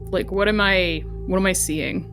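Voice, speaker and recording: A woman's voice: mid-range voice, fairly even intonation, moderate pace, a bit bright, faint music behind. A woman chatting casually as a player in a tabletop role-playing game, thinking aloud.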